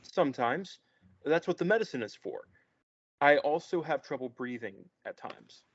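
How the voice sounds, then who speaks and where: A man's voice: fairly high pitch, lively intonation, normal speed. A man reading a naive, sheltered young man at a play table read, hesitant and stammering. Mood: earnest. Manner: hesitant, stammering, wide-eyed. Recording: echoey room, muffled lo-fi.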